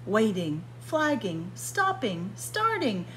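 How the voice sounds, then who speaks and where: A woman storyteller reading a picture book aloud to young children in an expressive sing-song way, drawing out and stressing words. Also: medium pitch, takes it slowly, a little hiss or hum. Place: library room with bookshelves, indoors.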